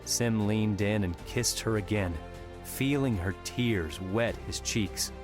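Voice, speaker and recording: Low-voiced male audiobook narrator. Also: slow pace. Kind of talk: scripted narration. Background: music.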